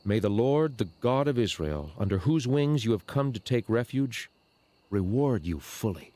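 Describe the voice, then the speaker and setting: An actor playing a kindly, dignified wealthy landowner in a dramatized audiobook, with a fatherly, measured manner. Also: medium pitch, sing-song, mellow, dry recording, close to an everyday voice. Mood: solemn kindness.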